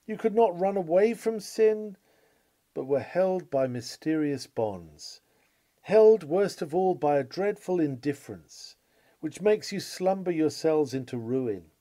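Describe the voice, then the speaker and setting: A man reading an old Victorian sermon aloud in a measured, solemn, rhetorical manner. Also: fairly high pitch, animated, warm tone. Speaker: sermon reader.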